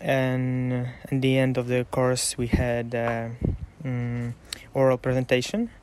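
Slow, halting man. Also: mid-range voice, completely flat delivery, darkish tone, a little hiss or hum. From Ukraine. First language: Russian. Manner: halting, many "uh" pauses. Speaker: podcast guest student.

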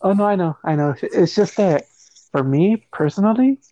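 A man's voice: high voice, lively intonation, normal speed, mellow, dry recording, lo-fi sound. A man from the United States in a casual group discussion, talking hesitantly and rambling.

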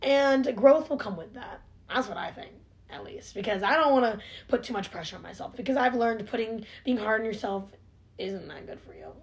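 A woman's voice: a little high, expressive, a little fast, vocal fry. A nineteen-year-old woman in a casual chat, earnest and self-reflective, saying "like" all the time. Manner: chatty, heavy use of "like", earnest and self-reflective.

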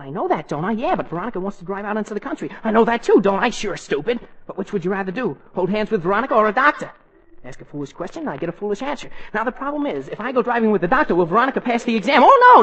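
An actor in a 1940s radio comedy playing an excitable, lovestruck teenage schemer who talks in slangy exclamations. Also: medium pitch, very fast, slightly rough, exaggerated voice, poor audio. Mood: flustered. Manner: exclamatory, slangy, argues with himself. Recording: old 1940s radio broadcast recording.

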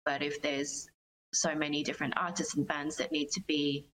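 A woman's voice: low-pitched, flat delivery, normal speed, fairly bright tone, slight background noise, rough recording. A woman giving reflective, earnest interview answers as a guest musician, phrasing her thoughts carefully. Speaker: guest, singer-songwriter.

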